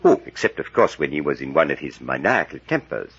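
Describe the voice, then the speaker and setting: Brooding scholarly professor for vintage radio serial; bottomless husky register, slow-building dramatic intensity, old muffled sound. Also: male voice, rumbling low, sing-song, a bit bright, heavily acted. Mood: scholarly, ominous. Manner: deliberate, scholarly, swelling into theatrical intensity. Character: grave elderly male European professor, steeped in dark lore. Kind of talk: 1940s radio acting, dramatic storytelling.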